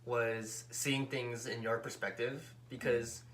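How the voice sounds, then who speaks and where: A relaxed man chatting on a couple vlog, his voice pitched in an ordinary middle range. He talks thoughtfully, often hedging and pausing to find the right phrasing. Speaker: co-host, the vlogger's fiancé. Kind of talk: casual chat.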